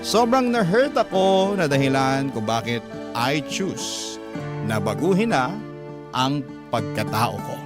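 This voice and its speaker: A man's voice: mid-range voice, sing-song, takes it slowly. A man reading a listener's letter as a radio story host, in dramatic scripted narration. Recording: music clearly behind the voice. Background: instrumental music.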